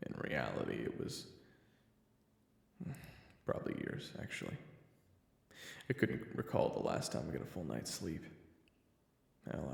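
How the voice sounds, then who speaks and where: A man playing a weary, haunted assassin and new father in an audio roleplay, with a deep gravelly voice and a dry, halting, confessional delivery. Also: exaggerated voice. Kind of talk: first-person audio roleplay narration. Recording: echoey, long reverb.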